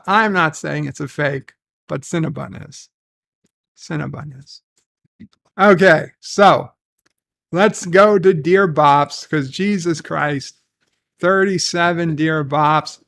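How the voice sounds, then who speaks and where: A man's voice: a little high, fairly bright tone, no echo, studio quality. A man from New hosting a casual stream, reading viewer messages and rambling with many self-corrections. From New York City. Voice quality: gravelly. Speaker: stream host.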